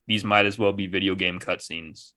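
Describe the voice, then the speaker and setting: Bright-voiced, low-pitched man debating. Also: fairly even intonation, normal speed. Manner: argumentative, casual swearing. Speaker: podcast panelist. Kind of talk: casual opinionated discussion.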